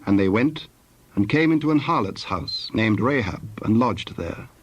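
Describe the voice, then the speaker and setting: A man narrating scripture in a solemn, scripted storytelling style with a husky voice. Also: lowish voice, lively intonation, moderate pace, a little hiss or hum.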